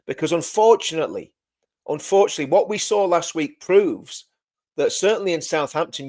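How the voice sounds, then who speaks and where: A man hosting a casual livestream and talking straight to his viewers. He has a loud voice and rambles, repeating words and correcting himself as he goes. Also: fairly high pitch. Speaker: livestream host.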